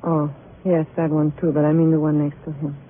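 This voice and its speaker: An actress playing an anxious young dance hall suspect in a 1950s radio drama, speaking in hesitant short phrases. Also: female voice, low-pitched, level tone, brisk, natural voice. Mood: hesitant, compliant. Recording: tinny, noisy old radio recording.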